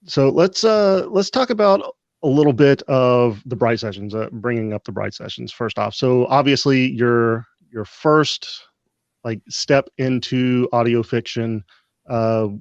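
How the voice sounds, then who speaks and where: A man's voice: medium pitch, unhurried, no echo. Man, host, interview questions, hesitant, dull lo-fi dry sound. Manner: relaxed, hesitant with "uh". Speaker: podcast society host.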